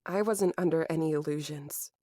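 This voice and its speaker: A woman playing a weary but defiant young survivor in a horror audio drama, with measured, raw delivery. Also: low-pitched, dark tone, dry recording, clean studio sound. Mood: grim resolve. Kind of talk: horror drama acting and first-person narration.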